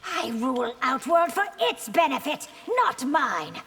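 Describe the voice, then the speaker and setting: A woman playing a proud, stern young empress in a fighting game, speaking in a formal, measured way. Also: high-pitched, big pitch swings, fairly bright tone, long reverb tail, a little hiss or hum, heavily acted. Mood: defiant. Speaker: video game character. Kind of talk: dramatic fighting game dialogue.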